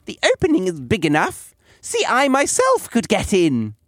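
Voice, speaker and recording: A cruel old storybook witch, acted out by a man, scolding and bossing with greedy impatience. The voice is pitched high and swoops widely, in a dry, close recording. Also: wildly swooping pitch, moderate pace, a bit bright, no echo, faint music behind, full character voice. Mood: sly, impatient. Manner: scolding, commanding.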